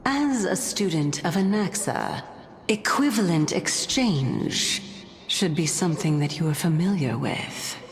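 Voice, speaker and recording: An actress playing a composed, calculating woman in a video game, speaking in a measured, formal, coolly condescending way. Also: fairly low pitch, expressive, long reverb tail. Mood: cool, teasing. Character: composed, calculating, teasing woman with hidden motives.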